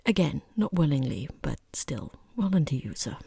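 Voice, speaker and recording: Woman as sarcastic lady boss in an ASMR job-interview roleplay; hushed, creaky, mellow delivery, condescending and dry, recorded close and dry. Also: low-pitched, very animated, moderate pace, no echo, full character voice. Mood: dryly patronizing. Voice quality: breathy, half-whispered. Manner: dry, sarcastic, hesitant politeness. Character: rude, condescending female boss interviewing a hapless job applicant. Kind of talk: soft-spoken ASMR roleplay.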